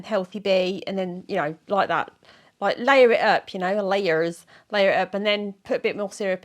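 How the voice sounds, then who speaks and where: A middle-aged woman with a croaky, sore-throated voice, chatting casually to camera in a rambling, giggly, self-mocking way. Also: medium pitch. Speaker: weight-loss vlogger.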